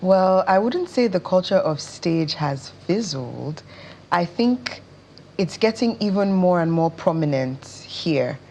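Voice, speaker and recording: Slow, deep-voiced Nigerian woman. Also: animated, darkish tone, a little hiss or hum. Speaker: interview guest, stage and screen actress. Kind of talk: conversational interview answers.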